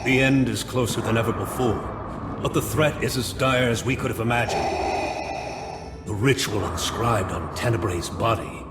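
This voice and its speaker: An actor playing a solemn, foreboding spectral mystic in a video game, speaking portentously. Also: male voice, mid-range voice, very noisy, natural voice. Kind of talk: dramatic video game acting. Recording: long echoing reverb, loud music behind.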